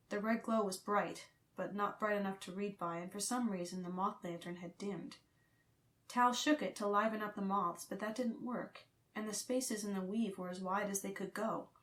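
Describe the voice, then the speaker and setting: Female audiobook narrator, scripted fiction, dramatic storytelling, average pitch.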